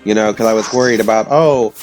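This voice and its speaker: A man with a hoarse voice from illness, telling rambling stories casually to his audience. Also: on the low side, fast, music in the background, low-quality recording. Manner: meandering, self-interrupting, chatty. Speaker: vlogger.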